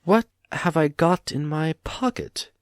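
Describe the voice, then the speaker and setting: Nervous little hobbit guy man reading it, voice pushed way up high and loud, dry mic. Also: measured pace, clean tone, no echo, lightly acted. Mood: insistent. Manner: raised, strained, nervous voice. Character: small nervous hobbit, male, jumpy. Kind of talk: storybook reading.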